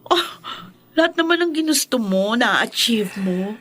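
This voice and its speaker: An actress playing a sweet, supportive young woman in a Filipino radio drama, gentle and encouraging. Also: a little high, lively intonation, a little slow.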